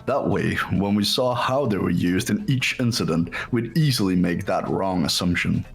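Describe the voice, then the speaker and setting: A man playing a serious, exacting student in an amateur game dub, speaking deliberately. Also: low-pitched, vocal fry, faint music behind. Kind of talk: scripted amateur visual novel voice acting.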